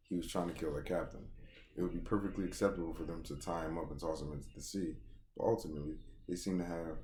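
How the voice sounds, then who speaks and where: A man hosting a casual read-along, reading a story aloud and breaking off for animated, slangy reactions and commentary. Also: sits low, a little hiss or hum. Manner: slangy, animated asides between narrated passages.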